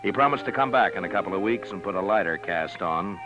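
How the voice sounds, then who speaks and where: A man's voice: sits low, animated, quick pace. A man playing a stern, laconic Old West lawman in 1950s radio drama style, terse and authoritative. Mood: measured narration. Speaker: lead actor and narrator. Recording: dull vintage radio recording with music.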